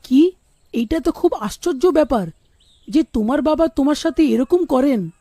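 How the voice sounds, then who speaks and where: Woman as a high, mellow young male student. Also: expressive, moderate pace, dry recording. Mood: earnest, sensible. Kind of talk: children's cartoon dialogue.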